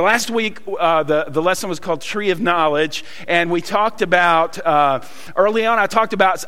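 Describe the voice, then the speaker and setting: Southern pastor, folksy, halting sermon delivery, slightly high voice, long hall reverb. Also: male voice, fairly bright tone, clear, resonant tone. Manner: folksy storytelling with many pauses and fillers. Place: church hall.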